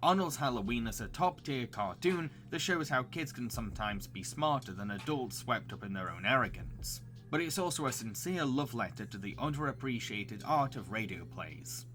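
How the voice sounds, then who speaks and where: A man's voice: medium pitch, lively intonation, normal speed, bright, forceful tone, soft background music. A man narrating a scripted review as the presenter of a recommendation video, with enthusiasm.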